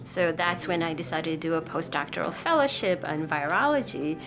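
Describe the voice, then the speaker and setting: A middle-aged woman from Nepal, a researcher, explaining her work in a measured documentary interview. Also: deep voice, music in the background, low-quality recording. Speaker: immunology researcher.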